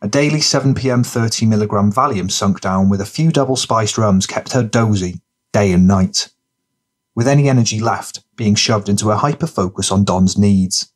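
Low-pitched male audiobook narrator. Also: moderate pace. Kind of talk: scripted fiction narration.

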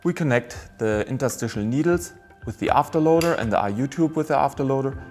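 A man in his thirties giving a scripted, formal, step-by-step instructional presentation of a product in a measured way. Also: medium pitch, animated, a little mellow, soft background music. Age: 30s.

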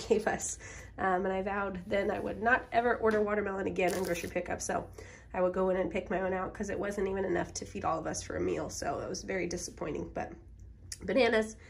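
A woman's voice: medium pitch, fairly even intonation, quick pace. A woman in her thirties, recovering from a cold with a strained voice, chatting casually in a home grocery haul vlog filmed on a phone in her kitchen. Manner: chatty, many ums, homey and upbeat. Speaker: vlogger. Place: farmhouse kitchen, indoors. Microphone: phone camera at arm's length.

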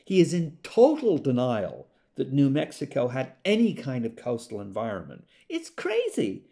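An actor playing an earnest, exasperated government geologist who explains things conversationally in an audio drama monologue. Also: male voice, mid-range voice, huge pitch swings, normal speed, vocal fry, warm tone.

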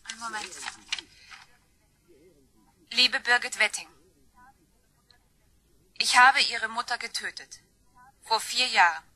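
A woman's voice: fairly high pitch, bright, forceful tone, no room sound. An actress playing a composed, earnest woman in a German crime radio play. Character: composed, earnest woman, murder victim's daughter.

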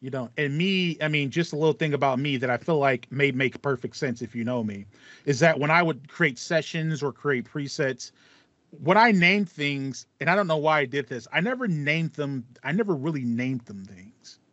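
A man in his thirties with a slightly high voice talks casually as a podcast host. He likes to act out thoughts as he goes. The sound is dry and a little muffled, as if on a headset in a small room. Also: animated, moderate pace, a bit bright, no echo. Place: small room at home with acoustic foam, indoors. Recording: slightly dull, band-limited audio.

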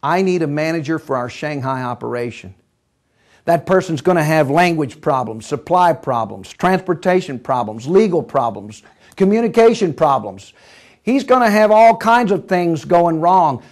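A man voicing a shrewd old business tycoon, calm, measured and authoritative, in a told story. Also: fairly high pitch, natural voice. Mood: stern, measured.

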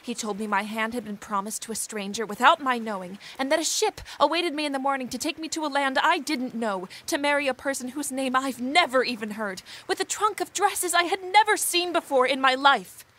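A woman playing a proud but gentle young Regency lady in a period audio drama, speaking with earnest care. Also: high-pitched, a little fast, hardly any pauses. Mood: wounded, firm.

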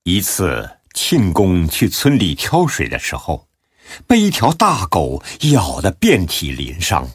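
A man narrating a scripted story as an audiobook narrator, in a deep, husky voice. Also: wildly swooping pitch, slow, no room sound, studio quality.

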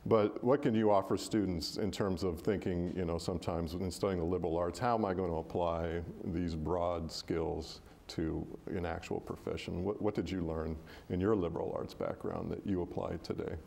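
A man's voice: sits low, fairly even intonation, moderate pace, vocal fry, hardly any pauses, a little mellow. A man moderating a campus talk as host and professor, asking questions and giving formal thank-yous.

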